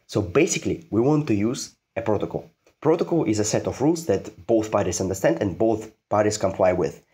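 A man's voice: fairly low pitch, expressive, a little fast, dark tone, husky. A man giving an informal programming tutorial, repeating phrases for emphasis and posing questions that he answers himself.

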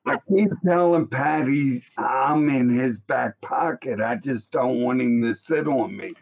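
A man's voice: mid-range voice, unhurried, a little mellow, breathy edge, lo-fi sound. An older man chatting casually from his home on a video call. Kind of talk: casual chat.

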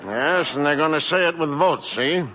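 An actor playing a shrewd, blunt political crony in 1940s radio drama style. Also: male voice, on the high side, moderate pace, over a noisy crowd, natural voice. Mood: sardonic, insistent. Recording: noisy vintage radio recording.

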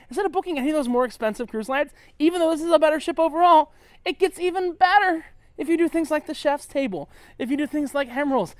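A tipsy man vlogging aboard a cruise ship, talking bluntly with plenty of swearing and sometimes dropping to a mutter. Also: very high voice. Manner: blunt, swearing, ranting, drops to a mutter at times. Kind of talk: casual walk-and-talk vlog.

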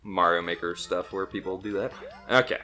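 A man's voice: deep voice, fairly quick, sharp tone. A young man in casual, profane banter as he plays and hosts a gaming video.

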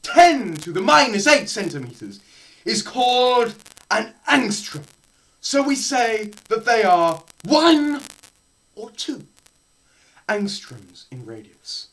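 A young man gives a theatrical lecture reading in a slow, deliberate pace. His pitch leaps around widely and his delivery is melodramatic, moving between hushed murmurs and loud cries. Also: very animated, low-quality recording. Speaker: presenter performing a dramatised physics lecture. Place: small plain room indoors.

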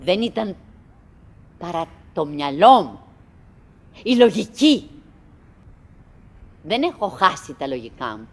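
An actress playing a chattering, wistful, anxious woman in a theatrical radio drama monologue, halting and repeating herself, swinging between murmurs and cries. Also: fairly low pitch, very animated, darkish tone. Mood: wistful, musing.

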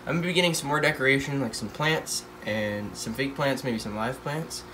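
A man's voice: mid-range voice, a little fast, sharp tone, poor audio. Teen guy w stuffy nose rambling about his pets, phone mic, faint hum behind. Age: teens. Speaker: pet channel host. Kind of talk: casual pet care vlog. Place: home room full of tanks. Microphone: handheld phone filming tanks.

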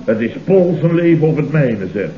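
An actor playing a panicky, self-important boss in a Dutch radio comedy, stammering and repeating words emphatically, with a Netherlands accent. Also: male voice, fairly high pitch, lively intonation, normal speed, lightly acted. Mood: indignant. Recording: dull, noisy, roomy old radio recording.

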